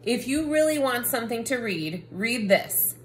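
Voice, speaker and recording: A woman's voice: on the high side, animated, unhurried, a little hiss or hum. A woman reading a children's picture book aloud, recorded indoors at home. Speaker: reader.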